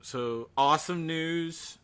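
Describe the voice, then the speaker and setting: A man's voice: on the high side, slow, crowd noise. A man hosting a show with casual, informal and enthusiastic chat. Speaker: show host.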